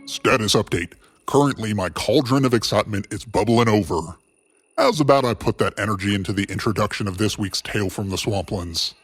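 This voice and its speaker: Low-voiced, husky male show host. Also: brisk. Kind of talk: scripted, playful show introduction.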